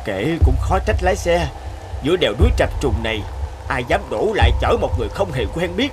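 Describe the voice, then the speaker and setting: An anxious stranded traveller in a cartoon, a big fellow with a highish, very animated voice. He sounds plaintive, hesitant and thankful, over loud music. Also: a little fast. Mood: worried, despairing. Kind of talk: cartoon voice acting.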